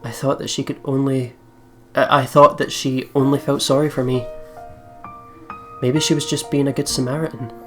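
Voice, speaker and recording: A woman's voice: a bass voice, little pitch movement, moderate pace. A woman hosting a let's play, reading a visual novel aloud in a dramatic, reflective manner. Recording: game music behind.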